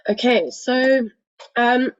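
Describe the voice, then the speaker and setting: A woman from South Africa with a South African accent, a bit croaky from a cold, giving an earnest, polite webinar talk from home with frequent hesitations. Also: on the high side, drawn-out words. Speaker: guest speaker. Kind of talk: webinar presentation. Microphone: computer mic on a video call. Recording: dull, dry lo-fi webinar audio.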